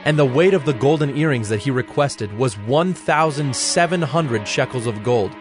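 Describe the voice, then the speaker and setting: Mid-pitched solemn male scripture narrator. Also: expressive, music in the background. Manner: measured, solemn.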